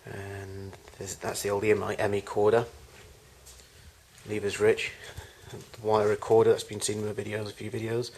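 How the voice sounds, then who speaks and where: A man's voice: fairly low pitch, monotone, moderate pace, darkish tone, a little hiss or hum. A man giving an unscripted tour of his collection in a home video, recorded in a garage on amateur camcorder audio.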